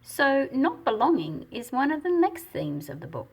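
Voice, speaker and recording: A woman reading a storybook aloud and explaining it as she goes, in an expressive, deliberate manner with a slightly husky, breathy quality. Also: high-pitched. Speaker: picture book read-aloud host. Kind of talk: storybook read-aloud with teaching commentary.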